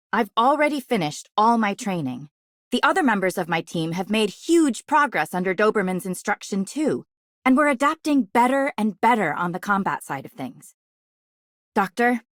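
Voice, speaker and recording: Woman acting a young female captain, diligent, determined, lively intonation, game character, dry sound. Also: medium pitch, moderate pace, no room sound, lightly acted. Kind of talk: scripted game voice lines.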